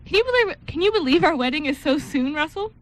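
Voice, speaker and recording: Very high voice, sweet young fiancée played by a woman, affectionate, teasing, amateur radio drama. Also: expressive, fast talker. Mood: adoring. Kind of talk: amateur radio play script reading.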